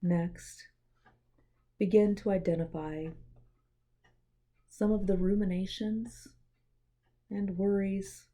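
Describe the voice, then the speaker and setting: A woman guiding a meditation in a gentle, measured, scripted way, with breathy pauses. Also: mid-range voice, fairly even intonation. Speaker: meditation guide. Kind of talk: guided meditation, soothing scripted narration.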